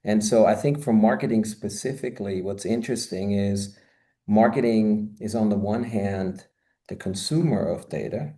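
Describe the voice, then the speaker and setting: A man in his fifties from Austria talks from his home office over a webcam. His voice sits low and dark, and he wanders through long answers full of asides. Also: moderate pace. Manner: expansive, rambling with many "you know" asides. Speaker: guest, marketing consultant. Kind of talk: conversational video-call interview. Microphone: video call, webcam.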